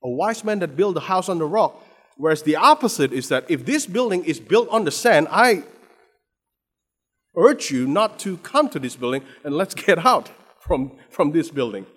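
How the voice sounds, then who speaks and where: A man's voice: on the high side, sing-song, moderate pace, fairly bright tone, breathy edge. A man from Indonesia with an Indonesian accent, preaching a sermon with frequent restarts and repeated words, building to emphatic stress. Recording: long hall reverb.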